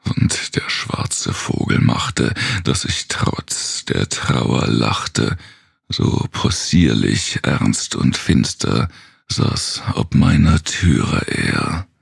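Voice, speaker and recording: A man with a gravelly, husky voice gives a brooding, theatrical recitation of gothic horror verse, sometimes sinking toward a whisper. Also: rumbling low, sing-song, very slow, dry recording, clean studio sound. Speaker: narrator.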